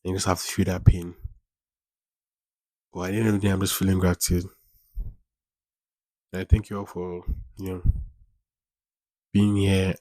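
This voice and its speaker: A man's voice: low-pitched, level tone, moderate pace, long pauses, dark tone, no room sound, poor audio. A man in his twenties hosting a casual solo podcast, rambling, repeating phrases and using informal slang. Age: 20s. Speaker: podcast host.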